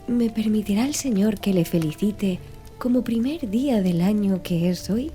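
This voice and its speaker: A woman's voice: mid-range voice, expressive, normal speed, mellow, faint music behind, close to an everyday voice. A woman voicing a polite, deferential young manservant in formal, courteous audiobook dialogue.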